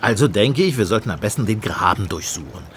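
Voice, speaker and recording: A blustery sceptical cop inspector, radio play, bossy and dismissive, voice jumps around, slight background noise. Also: male voice, fairly low pitch, lively intonation, quick pace. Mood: businesslike. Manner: gruff, dismissive, commanding. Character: blustery, sceptical, sarcastic male police inspector. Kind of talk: German radio play acting.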